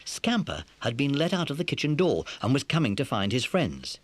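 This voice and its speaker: A man narrating a scripted children's story as an expressive storyteller. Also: medium pitch, normal speed, no room sound.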